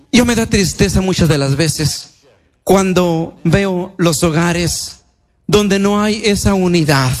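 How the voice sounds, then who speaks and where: High-pitched priest giving testimony. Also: male voice. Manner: colloquial and devout, repeats phrases for emphasis. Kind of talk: personal testimony preached to a congregation.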